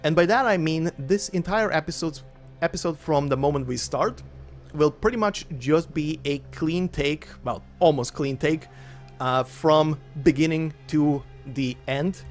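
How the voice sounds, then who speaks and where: A man from Croatia, a native Croatian speaker, chatting casually as the host before narrating a game story. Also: measured pace. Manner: chatty and rambling, with lively pitch jumps. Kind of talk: casual spoken intro to a game story reading. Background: faint looping game menu music.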